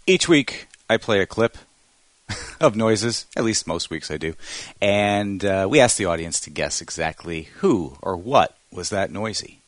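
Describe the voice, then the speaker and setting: A man with a low voice presents a segment on a podcast. He talks conversationally and a little wordily, with a playful announcer streak. The sound is dry and close. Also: animated, measured pace, no room sound.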